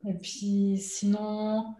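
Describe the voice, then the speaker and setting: A woman's voice: medium pitch, completely flat delivery, drawn-out words, dark tone. A woman, chatting as an interview guest, hesitant with lots of fillers and frequent laughter. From Switzerland. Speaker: guest, artist and illustrator. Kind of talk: casual conversational interview. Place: at home during lockdown. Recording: echoey room.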